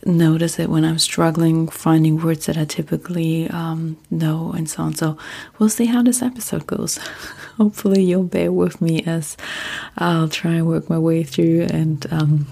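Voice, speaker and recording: A woman whose first language is German, talking softly and partly in a whisper, with frequent ums, as host of a sleep podcast. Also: low-pitched, steady tone, a little slow, gravelly, husky. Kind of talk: soft-spoken casual monologue.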